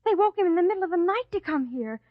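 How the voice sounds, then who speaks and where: A judge's young daughter who presses on insistently in a very high voice. The recording is a dry, dull old radio recording. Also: female voice, animated, fast, no room sound. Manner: insistent and probing. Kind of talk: vintage radio drama acting.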